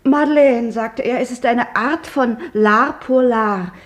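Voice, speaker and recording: An actress playing a shoemaker's widow in a 1950s radio play, rambling and musing but oddly practical. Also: female voice, fairly high pitch, slow pace. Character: chatty, grieving widow of a shoemaker. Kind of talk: 1950s radio drama acting.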